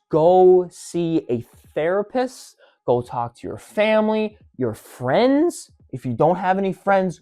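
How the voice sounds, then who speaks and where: An online commentator delivers an opinionated rant straight to the listener at a medium pitch. The delivery is emphatic and pleading, circling back to repeat points for weight. Music plays behind the voice. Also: darkish tone. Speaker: video creator giving commentary.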